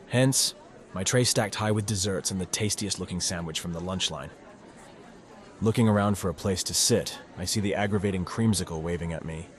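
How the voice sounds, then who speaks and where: A man playing a cynical, self-deprecating high school student, narrating a visual novel in sardonic, muttering asides. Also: natural voice. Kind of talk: first-person scripted narration, visual novel dub.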